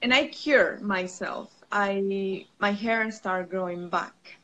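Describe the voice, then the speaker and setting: A woman's voice: mid-range voice, takes it slowly, fairly bright tone, poor audio. A young woman from Venezuela, telling a personal story on a video call in careful English with restarts. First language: Spanish. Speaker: guest. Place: home room. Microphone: laptop mic on a video call. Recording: video call with faint outdoor noise.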